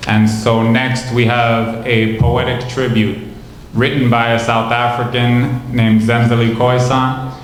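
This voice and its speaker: A man serving as event MC, making live announcements in a measured, matter-of-fact way. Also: mid-range voice, flat delivery. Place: large indoor hall, tribute event. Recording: very echoey hall, faint noise.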